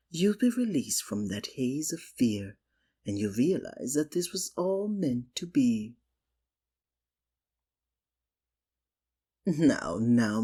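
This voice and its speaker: Performer voicing a breathy, swooping sinister male magician. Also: mid-range voice, heavily acted. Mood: soothing, possessive. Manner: theatrical, coaxing, menacing, condescending endearments. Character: sinister obsessive male stage magician, sweet-talking, possessive captor. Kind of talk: ASMR roleplay, theatrical villain monologue.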